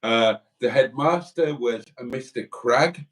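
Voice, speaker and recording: A man's voice: medium pitch, measured pace, recorded from a distance. A man from Wales co-hosting a live stream, chatting casually and reading viewer comments aloud with rambling asides.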